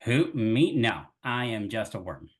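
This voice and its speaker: Friendly little worm voiced by a man, chatty, homely, husky. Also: lowish voice, sing-song, measured pace, full character voice. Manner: homely, chatty, rough colloquial speech. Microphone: video call.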